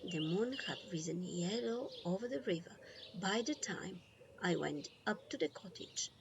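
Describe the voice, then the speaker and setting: A woman reading a children's storybook aloud in an expressive, deliberate way, leaving pauses between phrases. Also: lowish voice, gravelly, highs cut off, background noise. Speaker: storybook reader.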